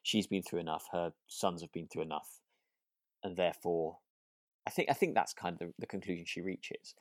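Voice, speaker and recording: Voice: low voice, steady tone, normal speed, no echo, clean studio sound. A podcast co-host chatting casually about a radio drama, hesitant and thinking aloud.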